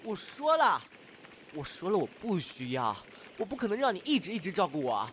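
An earnest, flustered young man, played by a male actor in an audio drama. His voice sits a little high and moves up and down a lot, with clear background noise. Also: sing-song, normal speed, fairly bright tone. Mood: insistent. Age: 20s. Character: earnest, flustered young man of twenty-three, easily exasperated.

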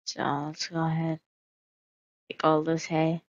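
A woman's voice: low voice, monotone, measured pace, no room sound, highs cut off. A woman casually narrating her solo game roleplay, musing aloud with hesitant fillers.

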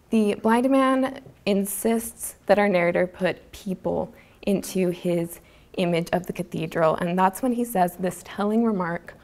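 A woman's voice: medium pitch, vocal fry, a little mellow. A woman in her twenties giving a prepared academic talk as a student presenter, earnest and articulate, at a podium microphone in an auditorium. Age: 20s. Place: lecture auditorium stage. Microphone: gooseneck podium microphone.

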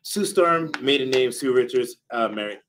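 A young man hosting a livestream signing, joking and excitable in a casual way. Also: medium pitch, normal speed, slight background noise. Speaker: stream host. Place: small streaming studio.

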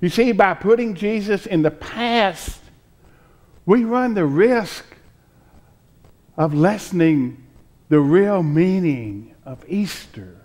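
An older man preaching a sermon from a pulpit, deliberate and emphatic, pausing between phrases. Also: high-pitched, lively intonation, a little mellow. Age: 60s or older. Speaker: pastor preaching. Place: church sanctuary, at a pulpit with choir behind.

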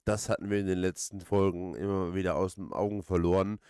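A man with a fairly deep voice chats through a video game. He rambles, hesitates and thinks aloud in a casual way. The sound is dry, with no room to it. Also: steady tone, brisk, darkish tone, smooth, dry recording. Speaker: let's player, host. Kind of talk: casual let's play commentary.

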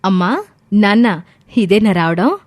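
A woman's voice: mid-range voice, lively intonation, unhurried. A woman voices a young married daughter in a storybook drama. She speaks in a medium register with a caring, solicitous manner toward her parents. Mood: pleased.

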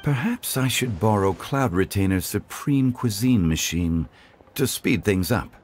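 An actor playing a composed, dignified ancient man in a game, speaking slowly and courteously. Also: lowish voice, expressive, a little hiss or hum. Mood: musing. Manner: slow, measured and courteous. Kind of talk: game voice acting. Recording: game audio with faint music behind.

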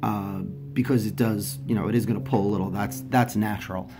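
A man's voice: on the low side, fairly even intonation, a little fast, over music. Congested man casually reviewing a product. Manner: chatty, many ums and restarts, drops softer for close-up details. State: congested from a head cold. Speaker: fashion vlogger. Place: indoors at home, filming over a carpeted floor.

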